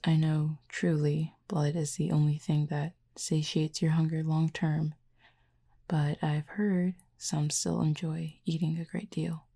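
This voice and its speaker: Woman playing a low, breathy, soft-spoken cupid. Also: little pitch movement, heavily acted. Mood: thoughtful, curious. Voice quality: breathy, soft, half-whispered. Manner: formal, measured, gently dry phrasing. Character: calm, curious otherworldly cupid who feeds on feelings. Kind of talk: intimate audio roleplay addressed to the listener.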